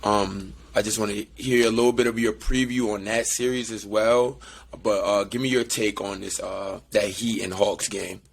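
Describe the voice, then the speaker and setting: A man with a fairly low voice that is hoarse and raspy from yelling. He talks sports in a laid-back, rambling, slangy way. A faint hiss of noise sits behind him. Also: fairly even intonation, moderate pace. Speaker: podcast co-host. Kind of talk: casual sports chat. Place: away from home, remote call.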